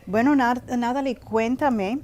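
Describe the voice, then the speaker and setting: A woman's voice: a little high, measured pace, a little mellow, a little hiss or hum. A woman hosting a conversational TV interview in a chatty, encouraging way, often adding short reactions. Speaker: TV interview host.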